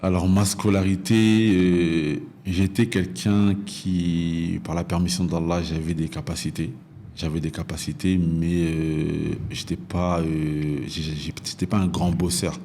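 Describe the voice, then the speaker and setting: A man's voice: low-pitched, level tone, a little slow, dark tone, a little hiss or hum. A man in his thirties from northern France telling his own story as a podcast guest, hesitating and repeating phrases as he explains. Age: 30s. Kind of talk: personal storytelling in an interview.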